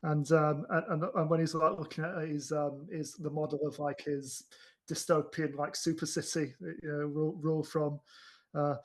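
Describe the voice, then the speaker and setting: A man co-hosting a film podcast, chatting casually in a halting, rambling way with repeated starts. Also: on the high side, little pitch movement.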